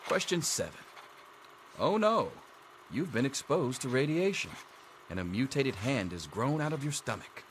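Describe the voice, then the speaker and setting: An actor playing a dry, sarcastic schoolteacher running an exam in a video game. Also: male voice, medium pitch, big pitch swings, normal speed, a little hiss or hum, natural voice. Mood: droll, reciting. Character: sardonic male vault schoolteacher giving an exam. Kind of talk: scripted video game character dialogue.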